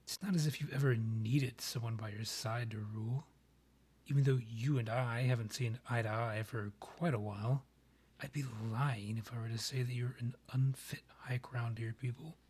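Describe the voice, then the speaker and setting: A man playing a proud, courtly vampire monarch in a scripted ASMR roleplay, speaking formally and with measured, theatrical phrasing in a husky, breathy voice. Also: mid-range voice, fairly even intonation, darkish tone, a little hiss or hum, natural voice. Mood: indignant, sincere.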